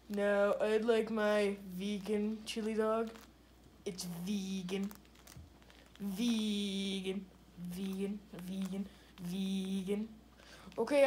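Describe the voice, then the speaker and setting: A woman's voice: medium pitch, steady tone, slow pace, a little hiss or hum. A woman doing an improvised comedy skit with plush toys on a living room couch, with a drawling, mumbly delivery. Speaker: puppeteer voicing plush toys.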